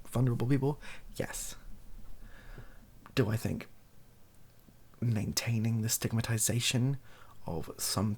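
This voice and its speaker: A softly spoken British man improvising a monologue as a video host, hesitant, thinking aloud and correcting himself as he goes. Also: lowish voice, husky. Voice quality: soft-spoken.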